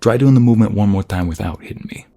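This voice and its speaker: A man playing a protective, flirtatious mobster husband in an ASMR roleplay, gravelly and husky, coaxing his partner with affection. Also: on the low side, fast talker, dark tone, natural voice. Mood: patient, instructing.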